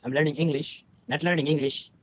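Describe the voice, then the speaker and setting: A man learning a language, chatting casually with his tutor over a video call in a halting way, full of fillers and restarts. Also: fairly high pitch, fairly even intonation, husky. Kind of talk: casual online tutoring chat. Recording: dull lo-fi call audio with faint noise.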